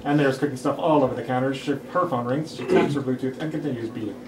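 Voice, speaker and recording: A man's voice: mid-range voice, quick pace. A man reading scripted stage directions aloud at a table read. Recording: roomy, distant mic, clear background noise.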